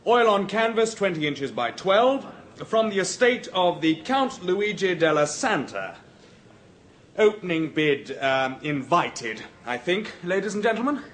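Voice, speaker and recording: A formal auctioneer. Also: male voice, high voice, big pitch swings, fairly bright tone, heavily acted. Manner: formal, rapid bidding calls. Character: brisk auctioneer at a London sale.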